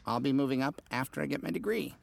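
A luckless office ad man in an audio drama, creaky voice, earnest and a bit hesitant. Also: medium pitch, fast. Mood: earnest, stubborn. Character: earnest, hapless low-level ad man, stubbornly hopeful. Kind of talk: naturalistic audio drama acting.

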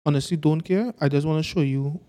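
A man co-hosting a podcast, chatting casually and teasing his friends in a profane, animated way. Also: a little high, a little fast. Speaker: podcast co-host.

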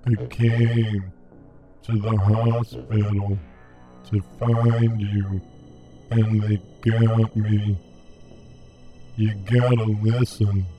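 A man playing a weary, pleading radio host turned half machine, speaking in a halting, desperate way in an audio drama. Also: fairly low pitch, level tone, lo-fi sound, faint music behind. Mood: urgent.

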